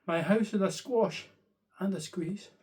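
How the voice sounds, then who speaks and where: A man's voice: high-pitched, unhurried, a little mellow, voice pushed up. A man voicing a fretful, grumbling little old lady in a bedtime story, pleading and exclaiming plaintively. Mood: exasperated.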